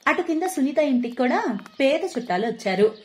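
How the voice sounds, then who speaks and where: Casting: female narrator for moral tales, scripted storytelling, voice a touch high. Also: big pitch swings, fairly quick, darkish tone.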